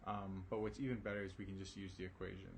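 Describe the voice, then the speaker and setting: A man teaching a recorded algebra lesson, his voice raspy and tired from a late hour, talking casually with lots of ums and okays. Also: low voice, level tone, fast, slight background noise. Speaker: math teacher.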